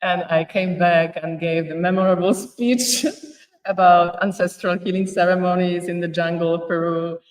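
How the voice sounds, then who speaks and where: A woman's voice: fairly low pitch, flat delivery, moderate pace, echoey room, distant mic, low-quality recording. A woman from Poland, a podcast guest talking casually about her life, laughing often and searching for words. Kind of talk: casual interview chat.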